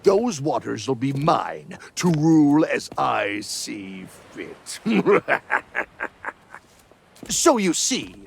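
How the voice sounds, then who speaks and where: An actor playing a gloating, theatrical undead pirate villain in a video game, with a gravelly voice and a grandiose, mocking drawl. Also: male voice, medium pitch, full character voice.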